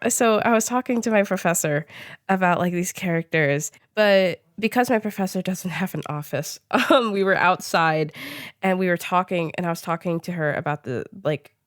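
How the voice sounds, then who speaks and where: A young woman hosting a podcast, chatting casually in a giggly, animated way peppered with "like". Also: lowish voice, moderate pace, no echo, studio quality. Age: young adult. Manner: giggly, animated, talks with frequent "like". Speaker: podcast host. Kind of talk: casual conversational interview chat.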